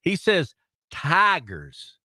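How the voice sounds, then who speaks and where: A man's voice: a little high, huge pitch swings, drawn-out words, bright, forceful tone, dry recording. A Southern man co-hosting a sports talk show in a studio, indignant and mocking, laughing often. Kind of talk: casual chat. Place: radio studio.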